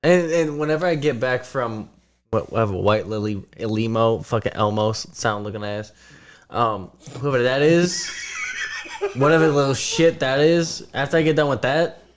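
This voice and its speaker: A young man playing a tabletop role-playing game, joking, swearing and laughing a lot, sometimes speaking in character. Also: mid-range voice. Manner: laughing, profane, rapid joking. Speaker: player. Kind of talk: casual tabletop game chat, partly in character.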